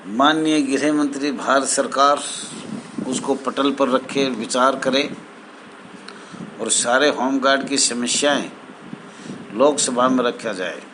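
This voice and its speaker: Male, mid-pitched, slow and halting association spokesman explaining procedure formally, with clear traffic-like noise behind him. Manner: halting, formal honorifics, repeats phrases. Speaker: home guard association spokesman. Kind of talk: informal explanatory address to association members.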